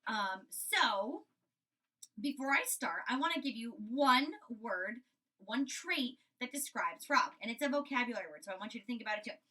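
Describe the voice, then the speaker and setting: A woman's voice: a little high, lively intonation, normal speed, sharp tone, recorded from a distance, low-quality recording. A woman teacher giving a reading lesson for young children, explaining things and often checking with a questioning tag. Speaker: elementary school teacher.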